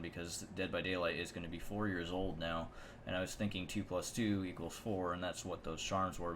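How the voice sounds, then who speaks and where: Deep-voiced man giving casual game commentary. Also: a bit bright, slight background noise. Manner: rambling, offhand. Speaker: video game channel host.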